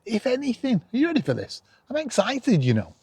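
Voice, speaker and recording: A man's voice: extremely high pitch, huge pitch swings, fairly quick, darkish tone, slightly rough, no room sound. A middle-aged man, chatting casually and enthusiastically as a self-deprecating YouTube host.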